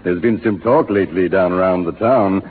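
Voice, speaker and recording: A man's voice: sits low, normal speed, lightly acted. An actor playing a genial, fatherly older frontier judge with a rustic, good-humoured manner in 1930s radio drama style. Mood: jovial, teasing. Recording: tinny, noisy 1930s radio recording.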